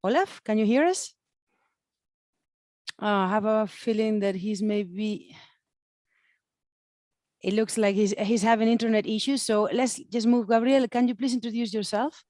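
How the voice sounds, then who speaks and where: A woman's voice: medium pitch, unhurried, pauses often, a little mellow, no room sound, studio quality. A woman moderating an online event in an informal, chatty, encouraging way. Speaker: webinar moderator. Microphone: computer mic on video call.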